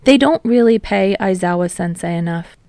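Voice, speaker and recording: Lady reading fan fiction, mid pitch, lots of creak, no room echo at all. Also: expressive, normal speed, warm tone. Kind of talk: scripted story narration.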